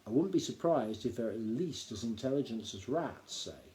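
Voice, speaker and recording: Man voicing a nervous honest young gnome, stumbles over words, mellow. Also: mid-range voice, lively intonation, normal speed, roomy sound, close to an everyday voice. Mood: earnest. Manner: stammering, earnest.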